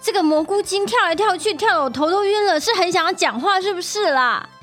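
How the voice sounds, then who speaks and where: A Taiwanese-accented woman chats in a high, animated voice over faint music. She asks questions casually and with curiosity, teasing a little as a podcast interviewer. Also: brisk. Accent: Taiwanese Mandarin. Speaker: guest podcaster asking questions.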